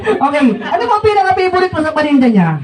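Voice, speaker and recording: A Filipino man hosts playful comedy banter in a high, very lively voice. He keeps repeating his questions and laughs often as he talks. The mic is some way off, with crowd chatter around him. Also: fast talker. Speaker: comedy show host interviewing a performer. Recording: distant mic with crowd noise.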